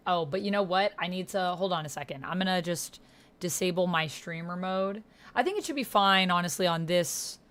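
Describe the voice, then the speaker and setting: A woman's voice: lowish voice, fairly bright tone. A woman hosting a livestream, chatting informally, thinking aloud and reading on-screen text. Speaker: livestream host and player.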